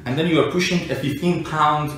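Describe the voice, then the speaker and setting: A man's voice: on the high side, a little fast, a bit bright. A man explaining informally to a listener in an argumentative way, working through everyday examples. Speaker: explainer, self-described engineer and scientist. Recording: echoey room, distant mic, faint noise.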